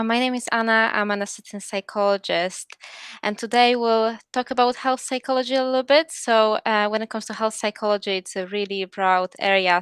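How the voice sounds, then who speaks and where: Young Polish woman, accented English, smooth voice, earnest and explanatory. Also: fairly high pitch, a bit bright, no room sound. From Poland. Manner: earnest, explanatory, frequent ums and restarts. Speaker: assistant psychologist, guest speaker. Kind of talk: presentation talk.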